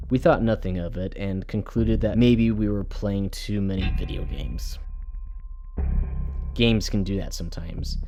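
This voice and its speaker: A man reads a scripted first-person horror story in a measured, dramatic storytelling manner. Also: fairly low pitch. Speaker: storyteller, narrator. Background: music.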